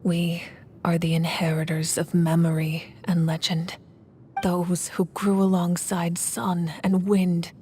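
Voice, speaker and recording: A woman playing a steadfast warrior leader in a video game, narrating in a solemn, restrained, measured way. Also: fairly low pitch, monotone, darkish tone, a little hiss or hum. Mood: solemn, resolute. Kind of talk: scripted dramatic game narration.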